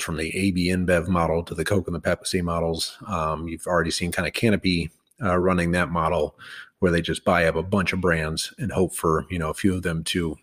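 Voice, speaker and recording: A man with a very low voice talks casually through his take on a stock, in a loose, unscripted way. He wanders off and restarts sentences, with plenty of ums and you-knows. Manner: loose and rambling, many fillers and restarts. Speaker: podcast host.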